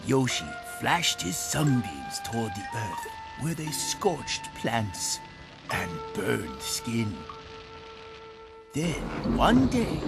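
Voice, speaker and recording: A storyteller giving a scripted folktale narration with dramatic, deliberate phrasing. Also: mid-range voice. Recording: music behind the narration. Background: prominent music.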